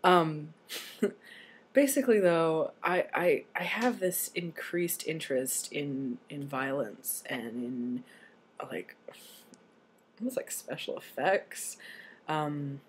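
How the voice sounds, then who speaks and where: A young adult man with a voice slightly deepened early in transition, talking casually and candidly in a personal vlog, with some swearing. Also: slow pace. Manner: candid, self-deprecating, sweary. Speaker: video blogger. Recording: noisy home recording.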